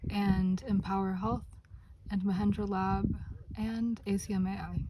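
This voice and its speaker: A woman's voice: medium pitch, level tone, unhurried. Matter-of-fact college woman, distant mic. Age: young adult. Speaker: college student. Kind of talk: casual interview answers. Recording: distant noisy recording.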